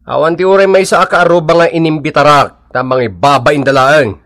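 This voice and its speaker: A man's voice: on the high side, brisk, fairly bright tone, no room sound, studio quality. An actor playing a boastful newly rich father in a radio drama, with a northern Philippine accent. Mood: showing off.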